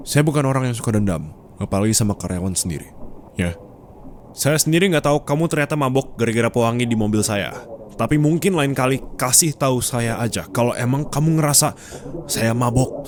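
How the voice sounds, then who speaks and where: A man's voice: medium pitch, a little hiss or hum, close to an everyday voice. A man playing a possessive, teasing company boss in an intimate ASMR roleplay, coaxing and insistent as he talks closely to the listener. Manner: close, coaxing, insistent, with short prompting questions. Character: possessive, teasing male company boss, commanding yet forgiving.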